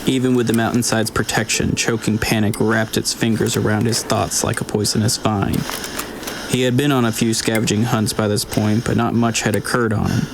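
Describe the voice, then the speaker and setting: 沙哑嗓音的男性有声书旁白，语速平稳，背景有明显噪音. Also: male voice, on the low side, flat delivery, gravelly. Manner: measured storytelling delivery. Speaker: audiobook narrator. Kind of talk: scripted narration. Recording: noisy recording with ambience.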